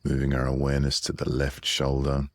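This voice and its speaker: A man leading a guided relaxation meditation in a soothing, unhurried way with long pauses, close on the microphone. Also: a bass voice, monotone, dry recording.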